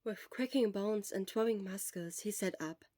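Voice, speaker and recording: Woman, story narrator, scripted audiobook-style reading, medium pitch, dry sound. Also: moderate pace, dark tone, no echo. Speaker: podfic reader.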